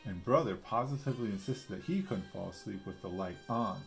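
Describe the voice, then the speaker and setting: A man's voice: on the low side, a little fast, creaky, faint music behind. A man reading a picture book aloud with casual asides, explaining simply as if to a young child. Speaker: storybook reader.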